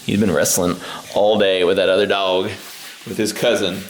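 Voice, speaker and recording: A young adult man with a slightly hoarse voice, chatting casually as a veterinarian vlogger in an easygoing, rambling way. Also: sits low, little pitch movement, moderate pace, reverberant, a little hiss or hum. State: a little hoarse. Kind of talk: casual vlog chat.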